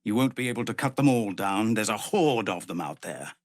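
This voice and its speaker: A male actor playing a weary, honourable leader of embattled refugees in a video game, grave, measured and formal, with a husky voice that turns gravelly under strain. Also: lowish voice, animated, darkish tone, no room sound, clean studio sound, natural voice. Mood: solemn, frank. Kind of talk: dramatic game dialogue.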